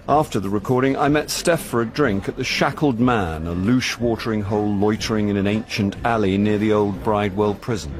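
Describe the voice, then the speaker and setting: Man playing a wry, low-voiced ageing actor-sleuth. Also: lightly acted. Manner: dry, self-deprecating. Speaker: actor playing narrator and lead. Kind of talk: radio drama narration and dialogue.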